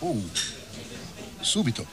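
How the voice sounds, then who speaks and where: An actor playing a courteous, evasive Italian bar owner with an Italian accent in a radio crime drama. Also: male voice, slow pace, noisy background, close to an everyday voice. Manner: evasive, polite, terse.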